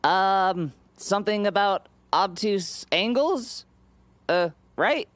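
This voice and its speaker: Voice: mid-range voice, expressive, slow pace, a bit bright, no echo. An actor playing an earnest, plucky young boy superhero in a comedy cartoon game, mock-heroic in manner. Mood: nervous. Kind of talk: animated comedy game acting.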